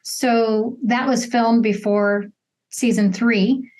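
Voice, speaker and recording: A woman's voice: on the high side, fairly even intonation, slow, darkish tone, low-quality recording. A woman hosting a conversational interview, asking questions and commenting. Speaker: interview host.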